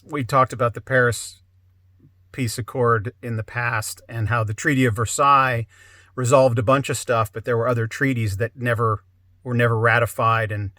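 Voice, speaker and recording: A man hosting a conversational interview, thinking aloud in a halting, rambling way. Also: medium pitch, fairly bright tone, no room sound. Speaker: podcast host.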